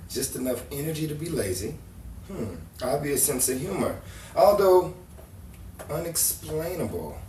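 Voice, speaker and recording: A man's voice: mid-range voice, a little mellow, natural voice. A man acting a playful, dreamy ladies' man painter in a 1950s Hollywood-style audio drama, jaunty and bantering. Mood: musing, amused. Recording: roomy, distant mic, faint background noise.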